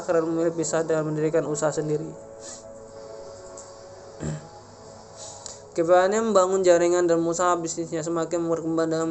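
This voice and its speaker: A young man, a student reading a prepared presentation aloud in a halting way, stumbling over and repeating words. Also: on the high side, a little mellow. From Indonesia. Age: young adult. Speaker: university student giving a presentation. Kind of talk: scripted narration read aloud. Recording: music playing behind the voice.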